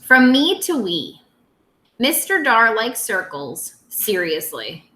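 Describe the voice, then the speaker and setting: A woman teacher reading a picture book aloud to young children in an expressive, simple way. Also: a little high, takes it slowly, echoey room.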